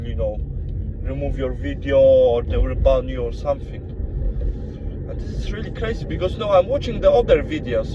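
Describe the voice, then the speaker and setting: A man with a strong Polish accent talks to camera from his car, hesitating and restarting his sentences. The sound is slightly muffled, and steady car and street noise runs clearly under his voice. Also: medium pitch. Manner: hesitant, full of "you know" and restarts. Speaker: vlogger. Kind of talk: casual monologue to camera. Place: inside a car.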